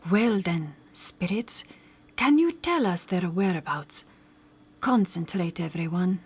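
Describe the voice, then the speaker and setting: A woman's voice: lowish voice, lively intonation, slow, darkish tone, husky, full character voice. A woman playing a formal, commanding and mysterious spirit medium in old-time radio drama style. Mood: solemn, commanding. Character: solemn theatrical female spirit medium.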